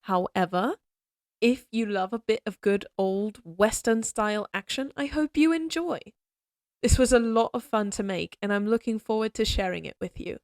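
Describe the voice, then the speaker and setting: A woman's voice: mid-range voice, lively intonation, moderate pace, darkish tone, no room sound, clean studio sound. Friendly woman introducing her audio story. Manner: friendly, direct address to the audience. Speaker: audio roleplay creator. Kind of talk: spoken intro to listeners.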